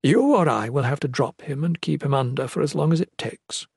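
A man's voice: fairly high pitch, animated, fairly quick, gravelly, darkish tone, husky, no echo, exaggerated voice. A resolute, self-sacrificing mother as a male narrator plays her speaks earnestly and formally in a fantasy audiobook. The voice is set a little above his normal speech, close and dry. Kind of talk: fantasy audiobook character reading.

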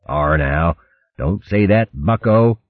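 A man doing squeaky jeering pirate vermin. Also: lively intonation, takes it slowly, dry recording. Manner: cackling, taunting. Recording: tinny lo-fi line.

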